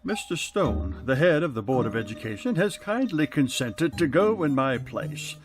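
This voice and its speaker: An actor playing a grouchy, pompous school principal in a comedic radio play, with a blustering manner. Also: male voice, medium pitch, very animated, normal speed, soft background music, lightly acted. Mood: brisk, self-important. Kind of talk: comedic old-time radio play acting.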